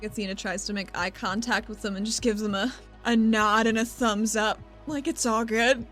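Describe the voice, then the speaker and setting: A woman's voice: fairly high pitch, steady tone, normal speed, fairly bright tone, faint music behind. A woman playing in a tabletop roleplaying game, chatting in a wry, informal way. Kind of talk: casual roleplay chat.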